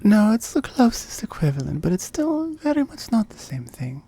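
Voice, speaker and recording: A woman's voice: medium pitch, big pitch swings, moderate pace, dark tone, husky, dry recording, pitched above the performer's own voice. A woman playing a seductive, possessive immortal oni demon in an ASMR roleplay, often whispering, teasing and commanding. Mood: matter-of-fact, teasing.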